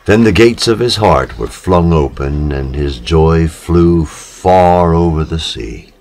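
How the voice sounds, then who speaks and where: A man giving a solemn literary reading, measured and dramatic, with pauses between short phrases. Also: extremely low pitch, expressive, creaky, darkish tone. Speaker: reader of poetic literature.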